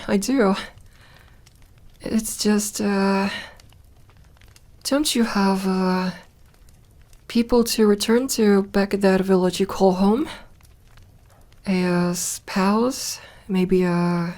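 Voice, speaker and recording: A woman's voice: mid-range voice, dark tone, lightly acted. A woman playing an ancient, lonely vampire in an ASMR roleplay, playful and tender, with a teasing drawl that turns hesitant when flustered.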